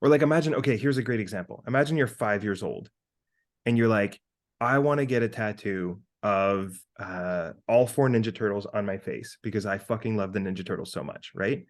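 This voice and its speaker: Low-voiced man chatting casually, thinking aloud. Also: fairly quick. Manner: animated, sweary, thinking aloud. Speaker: podcast co-host. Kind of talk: casual conversational monologue with hypothetical examples.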